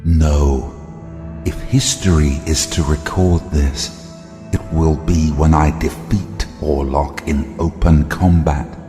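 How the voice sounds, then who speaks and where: A man's voice: extremely low pitch, steady tone, slow. An actor playing a cold, proud, inhuman Night King in an audio drama, commanding and imperious. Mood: grave resolve. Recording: echoey hall-like reverb under loud music.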